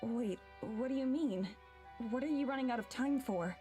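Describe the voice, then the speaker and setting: A woman's voice: high-pitched, normal speed, loud music behind the voice. A woman reading a visual novel's dialogue and first-person narration aloud.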